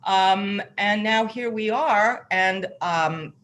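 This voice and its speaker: A woman's voice: medium pitch, slow, fairly bright tone. A woman talking casually in an interview as an organizer for an orchestra.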